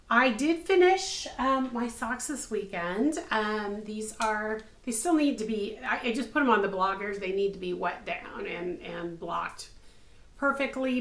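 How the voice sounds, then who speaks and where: A woman in her fifties, slightly hoarse, hosting a casual craft podcast from her home craft room, upbeat and full of ums. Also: a little high, a bit bright. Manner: chatty and upbeat, many ums. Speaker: podcast host, crochet designer. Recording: echoey room.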